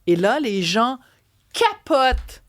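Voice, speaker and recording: A woman with a Québécois French accent co-hosting a talk segment, telling a story in a chatty, animated way with many restarts. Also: fairly high pitch, slow, no room sound, studio quality. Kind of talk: casual chat on a radio and TV show.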